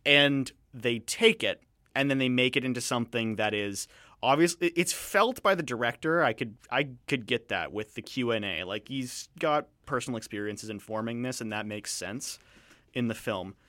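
Rambling male radio host, bright voice. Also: mid-range voice, lively intonation, dry recording, studio quality. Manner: rambling, digressive, halting with repeated false starts. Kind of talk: casual film review chat.

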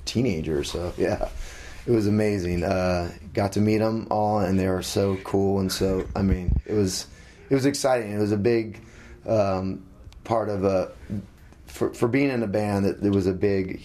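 A middle-aged musician being interviewed, talking casually with many halting restarts. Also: male voice, on the low side, fairly even intonation, warm tone.

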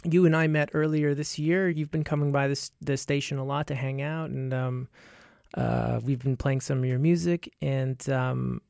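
A man's voice: mid-range voice, steady tone, moderate pace, vocal fry, a little mellow, no echo. A man hosting a radio interview in the studio, asking questions with many hesitations and restarts. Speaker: radio host.

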